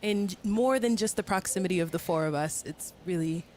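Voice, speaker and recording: A woman from the United States, a guest singer, speaking in a reflective, halting, conversational way in an interview. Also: lowish voice, a little mellow, slight background noise.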